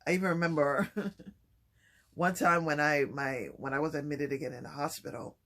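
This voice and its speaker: A man talking softly and haltingly in a personal vlog, with many pauses and restarts. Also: fairly even intonation. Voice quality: low voice. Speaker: vlogger. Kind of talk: personal vlog, reflective talk.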